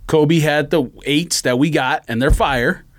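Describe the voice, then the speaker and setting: A man in a casual, animated sneaker debate, emphatic and slangy, repeating himself for effect. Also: fairly high pitch, measured pace. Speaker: sneaker podcast host or panelist. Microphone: podcast studio microphone.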